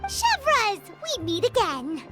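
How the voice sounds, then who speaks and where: A woman voicing a small, cute, curious childlike fairy companion in a video game, with a squeaky voice. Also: wildly swooping pitch, slow pace, full character voice. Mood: chatty, curious. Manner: refers to self in third person, earnest. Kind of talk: video game character acting. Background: game music.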